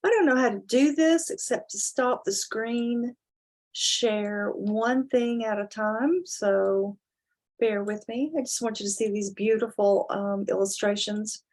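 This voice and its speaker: Woman, higher-pitched voice, dramatic children's storyteller, deliberate emphasis. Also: darkish tone, low-quality recording. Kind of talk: expressive dramatic storybook reading with casual asides.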